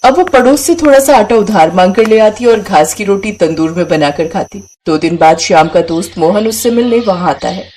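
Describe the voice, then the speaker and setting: A woman's voice: mid-range voice, lively intonation, normal speed, hardly any pauses, darkish tone. A woman storyteller reading scripted narration for a moral bedtime tale.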